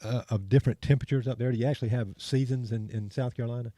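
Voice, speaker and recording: A man's voice: medium pitch, fairly even intonation, very fast, dark tone, no room sound. A man co-hosting a running podcast, chatting with an enthusiastic, laughing manner. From Georgia. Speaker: podcast co-host. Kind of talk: casual interview chat. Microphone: video call.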